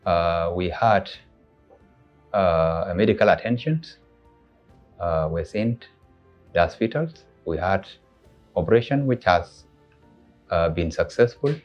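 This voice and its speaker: A man from South Sudan with a South Sudanese accent, giving a formal, halting testimonial about a relative's care. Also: deep voice, lively intonation. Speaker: patient's husband giving a testimonial. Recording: dull audio under background music.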